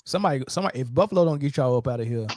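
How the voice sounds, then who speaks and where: A man's voice: mid-range voice, expressive, racing, darkish tone. A man hosting a casual live sports fan talk, teasing and trash-talking as he goes.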